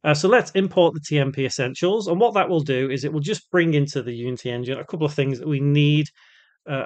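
A man presenting a casual step-by-step software tutorial, explaining and thinking aloud as he works. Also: on the high side. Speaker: tutorial host.

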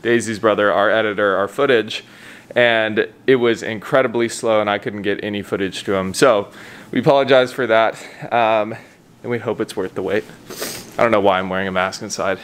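A man's voice: lowish voice, steady tone, moderate pace, fairly bright tone, clear, resonant tone. A man, perhaps in his thirties, chatting casually to a handheld camera as a vlogger. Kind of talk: casual vlog chat. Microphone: handheld camera at arm's length. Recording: noisy vlog audio, ambient outdoor noise.

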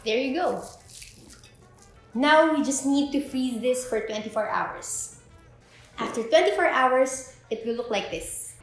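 A young woman guides viewers through a recipe step by step. Her voice sits fairly high. The room is strongly echoey, and music plays behind her. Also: animated, unhurried, long pauses. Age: 20s. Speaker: host of a drink recipe video. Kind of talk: scripted step-by-step tutorial presenting. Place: indoor kitchen with bare walls.

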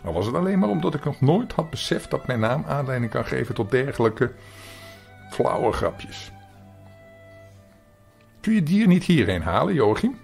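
A man acts out a fussy storybook schoolmaster with a slightly raised, very animated voice. He is formal and stern, yet curious and easily flustered. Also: medium pitch, moderate pace, vocal fry, long pauses, soft background music, voice pushed up. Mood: mild annoyance.

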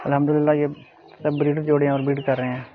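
Middle-aged Pakistani man, even-toned, tinny audio. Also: a little high, racing, a little hiss or hum. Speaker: pigeon farmer. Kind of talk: informal showing of livestock. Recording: phone-like thin audio, faint music. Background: faint music, cooing pigeons.

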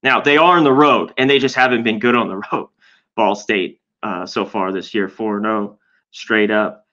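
A man with a crisp, forceful voice talks through a matchup from a home room. He speaks in halting phrases, and the desk mic sounds a little dull and lo-fi. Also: fairly low pitch. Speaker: sports betting podcast co-host. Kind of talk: casual sports betting analysis.

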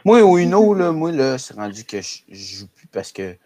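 A man's voice: fairly high pitch, sing-song, normal speed, darkish tone. A young man with a Quebec French accent chatting casually and emphatically, repeating himself and swearing now and then. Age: young adult. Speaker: podcast panelist. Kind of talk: casual group chat. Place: small room at home, video call. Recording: noisy video-call audio with clicks.

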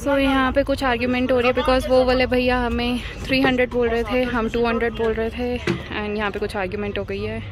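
A woman's voice: on the high side, flat delivery, brisk, few pauses, a crowd in the background. A woman travel vlogger chatting candidly and teasingly on a road trip, mixing Hindi and English. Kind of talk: casual vlog chat mixing Hindi and English. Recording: noisy outdoor ambience.

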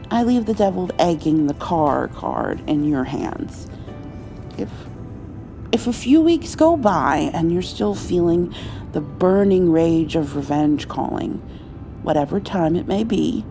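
A woman playing a caring, playful mother in an intimate ASMR roleplay, soothing her grown child with gentle, halting speech and endearments. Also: lowish voice, expressive, gravelly, faint music behind. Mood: wry, affectionate. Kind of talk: intimate comfort ASMR roleplay, acted as one side of a conversation.

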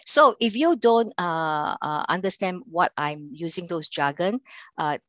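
A middle-aged woman from Singapore with a Singaporean accent, running an online sales training over a video call, talking haltingly with many fillers and restarts. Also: fairly low pitch, no echo. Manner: halting delivery with frequent fillers and restarts, quizzing the audience. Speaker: account manager and sales trainer.

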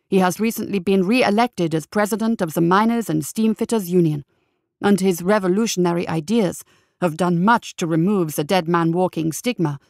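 A woman's voice: medium pitch, a little mellow, no echo, studio quality, lightly acted. A woman voicing a male radio reporter giving formal, genteel live commentary on a society event.

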